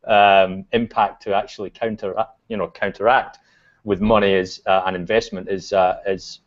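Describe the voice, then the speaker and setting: A Scottish man talks in a low, easygoing voice, pausing with frequent ums as he thinks aloud. His voice comes through a video call with a dull, lo-fi sound. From Scotland. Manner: relaxed, many fillers and restarts. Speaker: guest. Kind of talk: casual video chat.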